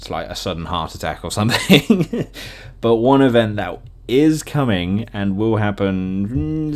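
Man chatting casually as a podcast host. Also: mid-range voice. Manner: jokey, laughs often at own remarks; acts out imagined quotes.

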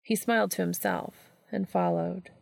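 A woman narrates scripted fiction in a fairly low voice. Heavy creak runs through her delivery, and the recording is dry, with little room sound. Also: steady tone, measured pace, no room sound. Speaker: fan fiction audiobook reader.

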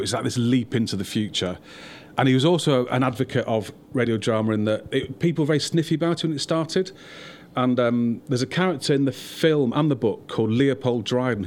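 A man's voice: mid-range voice, slight background noise. Man hosting a podcast, wryly humorous. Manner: wry, self-deprecating humour. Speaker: podcast host. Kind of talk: podcast introduction and informal event hosting.

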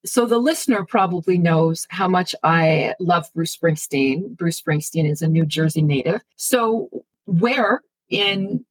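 A woman's voice: lowish voice, a little slow, few pauses, slightly rough, rough recording. A woman hosting a podcast interview, introducing her guest and asking questions with enthusiastic, effusive delight. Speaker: podcast host.

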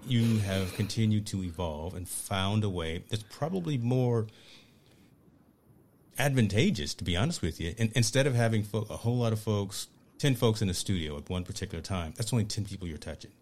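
A deep-voiced man hosting an interview, eager and upbeat. He talks casually, often stumbling over and repeating his words. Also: lively intonation, a little fast, a little mellow, slight background noise. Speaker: podcast host.

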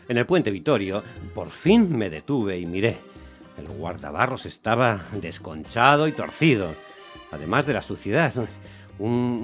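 An actor playing a hot-tempered, grumbling taxi driver who tells his story in a radio play, animated and exasperated. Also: fairly low pitch. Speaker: narrator and lead character. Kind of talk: dramatised first-person radio storytelling.

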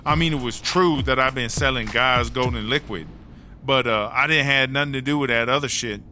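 A man's voice: medium pitch, a little fast, bright, forceful tone, close to an everyday voice. A man voicing a swaggering, foul-mouthed hustler rapper who tells an aggrieved story in streetwise slang for a comedy audio drama. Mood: aggrieved, boastful. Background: music.

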